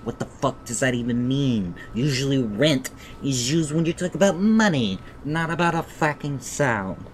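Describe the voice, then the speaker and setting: A man's voice: expressive, normal speed. A man streaming a visual novel, reading it aloud with profane, exasperated asides and stumbling over words. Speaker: gaming streamer. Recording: rumbly background noise.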